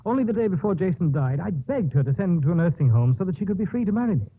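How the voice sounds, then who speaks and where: An actor playing a devoted, anguished lover in a 1950s radio melodrama. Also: male voice, high voice, very animated, rapid-fire, husky, exaggerated voice. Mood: protesting. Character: devoted, anguished male lover of a condemned woman. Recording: muffled old 1950s radio broadcast.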